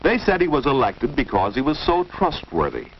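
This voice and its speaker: A man's voice: medium pitch, wildly swooping pitch, normal speed. An American man narrating a scripted 1950s instructional film, didactic and posing questions to young viewers. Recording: dull, hissy 1950s film soundtrack.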